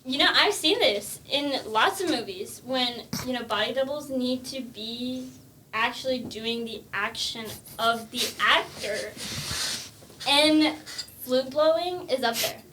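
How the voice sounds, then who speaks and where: A young adult making a deadpan, mock-confident pitch in an improvised podcast game. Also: slow. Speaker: podcast guest. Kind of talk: casual improvised game chat. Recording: distant mic with background noise.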